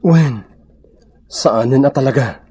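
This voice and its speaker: A man with an Ilocano accent playing a sheepish, philandering husband, hesitant and coaxing with a husky voice, in a radio comedy drama. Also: medium pitch, slow pace, dark tone. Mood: apologetic, pleading.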